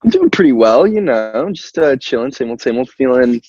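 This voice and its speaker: A man's voice: mid-range voice, sing-song, brisk. A young American man who is a band singer chats casually as an interview guest, rambling with lots of filler words and easy laughter. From Albany, New York, United States. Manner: rambling, peppered with "like" and "you know", laughs easily. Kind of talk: casual chat. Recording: muffled, roomy call-like audio.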